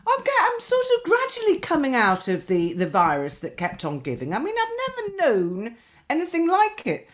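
A woman's voice: high-pitched, huge pitch swings, moderate pace. A woman, a podcast co-host telling rambling, sweary anecdotes in casual chat, her nose slightly stuffy.

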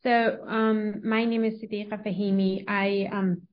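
A woman's voice: mid-range voice, flat delivery, unhurried. Interview guest: young woman from Afghanistan, hesitant, many restarts, dull sound. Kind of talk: interview answers.

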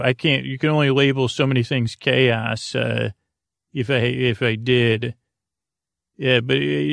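Gravelly man rambling through a bedtime podcast. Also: mid-range voice, dry recording, clean studio sound. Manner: meandering, halting, repeats and trails off. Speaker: podcast host.

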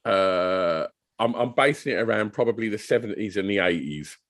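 A man's voice: on the low side, normal speed, fairly bright tone. A British man co-hosting a podcast in casual, teasing banter, often laughing. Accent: British English. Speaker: podcast co-host.

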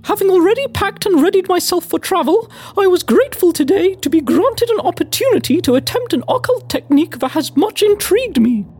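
A woman's voice: very high voice, expressive, fairly quick, hardly any pauses, darkish tone. A woman playing a pompous Victorian lady adventurer with a British accent, speaking formally and theatrically in an audio drama. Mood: measured, self-important. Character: prim, pompous Victorian lady adventurer keeping an occult logbook. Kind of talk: scripted first-person audio drama narration.

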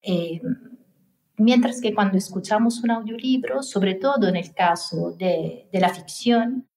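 A woman's voice: medium pitch, unhurried, a little mellow. A woman host posing a long, conversational question, with fillers and small restarts. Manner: conversational, explains at length with fillers. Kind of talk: podcast interview.

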